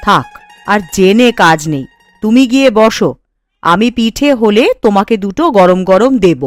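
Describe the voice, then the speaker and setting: Woman acting an animated, firm village housewife. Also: mid-range voice, moderate pace, no echo, soft background music, natural voice. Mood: firm, chiding. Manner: expressive, chiding. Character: kind-hearted, firm village housewife, scolding her husband. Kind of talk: Bengali fairy-tale cartoon dialogue.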